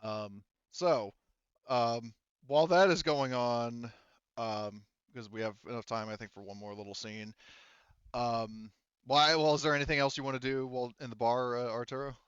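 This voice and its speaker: Guy game mastering, casual and sweary, smooth clear voice, dry slightly dull mic. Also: mid-range voice, fairly even intonation, normal speed, a bit bright, no echo. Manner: informal, sweary. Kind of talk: casual tabletop game narration and chat.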